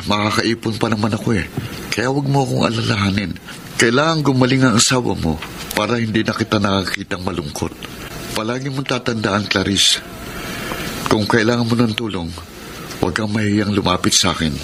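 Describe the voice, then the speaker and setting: A man's voice: fairly low pitch, a little fast. A man with a Filipino accent playing a kind, devoted tricycle driver in a radio drama. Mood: caring, earnest. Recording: clearly noisy ambient background.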